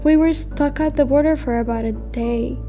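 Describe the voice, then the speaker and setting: A high, mellow girl's voice acted by a woman for a radio drama. She is a young refugee from war, burdened by guilt yet resolute, with some music underneath. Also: normal speed. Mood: calm, recounting. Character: teenage girl refugee fleeing war, guilt-ridden and resolute. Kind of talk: amateur radio drama acting.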